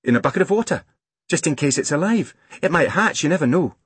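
A man voicing an eager, bossy young girl in a children's storybook, earnest and brisk like a big sister. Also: fairly high pitch, expressive, husky, no room sound, pitched above the performer's own voice. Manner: earnest, brisk, corrects others.

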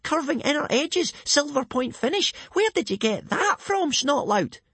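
A man voicing an excitable young Viking boy in a children's audiobook, breathy and gushing with admiration. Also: very high voice, unhurried, no room sound, voice pushed up, full character voice. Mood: awestruck. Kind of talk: children's audiobook character acting.

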